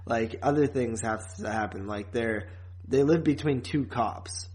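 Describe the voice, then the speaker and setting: A man's voice: medium pitch, a little slow, a little mellow. A man co-hosting a podcast, recapping a TV series in casual, rambling, informal talk. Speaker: podcast co-host.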